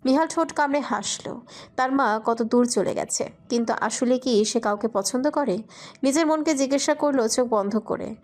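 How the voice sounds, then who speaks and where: Higher-pitched woman narrating a romantic story. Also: normal speed, darkish tone.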